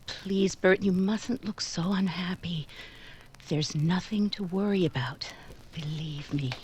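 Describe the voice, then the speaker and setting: Casting: actress for stoic, kind woman in vintage radio drama. Lowish voice, quietly firm, slight noise behind. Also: takes it slowly. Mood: hushed, tender. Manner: composed, restrained, firm. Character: stoic, gentle woman, Miss Nellie, quietly letting a suitor go. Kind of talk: 1940s radio drama acting.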